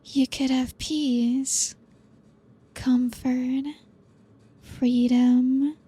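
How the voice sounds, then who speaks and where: A woman playing a possessive female vampire who coaxes her guest in a soft, breathy ASMR roleplay. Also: high voice, little pitch movement, heavily acted. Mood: seductive. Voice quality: soft breathy whispery delivery. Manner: slow, teasing, coaxing.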